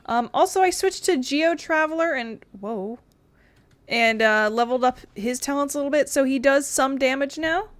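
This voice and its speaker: A woman's voice: high-pitched, moderate pace, no room sound. A woman hosting a gaming video with casual commentary, informal, playful and sweary.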